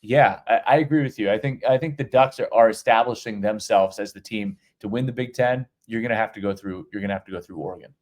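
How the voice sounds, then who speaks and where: A man's voice: lowish voice, quick pace, few pauses, a little mellow. A middle-aged man co-hosting a podcast, talking college football casually with many restarts and repeated words. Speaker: podcast co-host. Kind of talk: casual sports talk.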